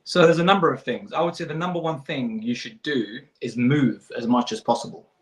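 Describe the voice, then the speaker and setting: A man's voice: medium pitch, brisk, echoey room. A man in his thirties, a fitness coach, answering interview questions informally and explaining as he goes, often rephrasing. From born in Iraq, moved abroad as a young child. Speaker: fitness coach and business owner.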